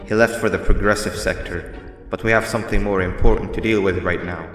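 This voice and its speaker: An actor voicing a calm, grave monster mascot in a video game, giving measured, formal instructions like a schemer. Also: male voice, deep voice. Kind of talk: scripted video game dialogue. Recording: roomy reverb with music underneath.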